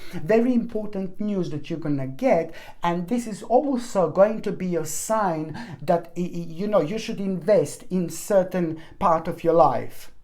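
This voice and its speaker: A woman's voice: on the low side, warm tone. Earnest woman giving a tarot reading. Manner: earnest, repeats herself, addresses viewers with endearments; many fillers and restarts, pleading emphasis. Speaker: tarot reader. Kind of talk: weekly tarot reading monologue to camera.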